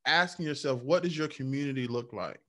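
A man's voice: medium pitch, brisk, sharp tone. A man co-hosting a podcast, speaking reflectively in casual chat and often checking in with his listener. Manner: reflective, many "um"s and "right?" check-ins. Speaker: podcast co-host.

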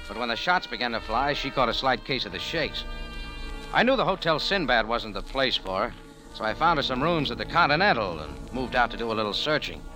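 An actor playing a wry, tough American café owner and sleuth in a hard-boiled 1940s radio drama style. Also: male voice, mid-range voice, close to an everyday voice, low-quality recording. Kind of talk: 1940s radio acting, first-person narration and dialogue. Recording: vintage radio recording.

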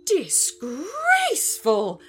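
A woman's voice: high voice, lively intonation, extremely slow, pushed and bright, faint music behind, pitched above the performer's own voice, exaggerated voice. A woman voicing a large, kindly but easily affronted female gorilla florist in a children's story, flustered and genteel. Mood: affronted, huffy. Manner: flustered, genteel indignation with stammers.

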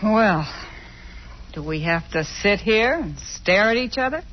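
A woman's voice: mid-range voice, lively intonation, a little slow, close to an everyday voice. Lady doing tough curt 40s radio gangster gal, wary, muffled hissy recording. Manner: curt, hard-boiled. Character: tough, bossy, wary female murder suspect. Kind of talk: 1940s radio acting.

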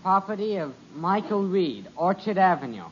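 An actor playing a goofy, chuckling teenage son named Bud in a 1950s radio sitcom. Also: male voice, sits high, big pitch swings, normal speed, lo-fi sound. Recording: old radio recording with hiss.

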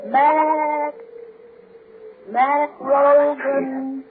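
An actress playing a dead wife's ghost in a 1930s radio horror play, calling eerily in long drawn-out words. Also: female voice, high voice, level tone, phone-quality sound. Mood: eerie, beckoning. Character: ghostly dead wife calling from beyond the grave. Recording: noisy, band-limited 1930s radio recording.

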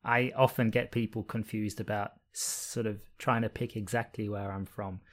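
A man whose Australian accent has been worn down by years abroad hosts a relaxed interview over a video call. He talks in a measured way, trading stories and asking easy follow-up questions. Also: on the low side. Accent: mixed Australian. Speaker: podcast host.

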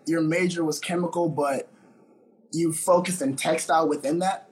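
A man's voice: a little high, fairly even intonation, moderate pace, a little hiss or hum, rough recording. A young man casually interviewing a friend, laid-back and slangy.

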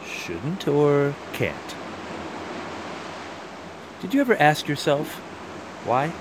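Persistent, skeptical male outsider played by a man, questioning and challenging, animated pitch, audio drama with noisy background. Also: very slow, heavily acted. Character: probing, persistent man from across a wall. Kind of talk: one-act audio play acting.

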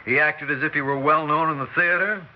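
An actor playing an impatient, indignant theater professional with a brusque, authoritative manner in a 1960s radio drama. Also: male voice, fairly high pitch, fairly even intonation, fairly quick, natural voice. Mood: indignant, curt. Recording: faintly noisy, dull 1960s radio recording.

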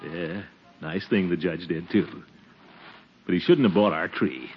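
A man playing a pompous, blustering but soft-hearted middle-aged uncle in a 1940s radio comedy, with a chuckling, swooping delivery. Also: sits low, unhurried, husky, soft background music. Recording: muffled, noisy 1940s radio recording.

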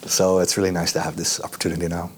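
Hesitant Dutch man, lowish voice. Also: flat delivery, fast talker, slightly rough. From Netherlands. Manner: hesitant, many fillers and restarts. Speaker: guest. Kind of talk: casual interview answers.

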